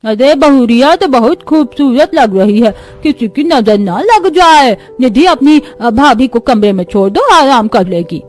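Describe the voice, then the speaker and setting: Mother-in-law, cartoon moral tale, played by a woman: effusive, welcoming, higher voice, full of admiration. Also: lively intonation, unhurried, few pauses. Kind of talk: Hindi cartoon story dubbing.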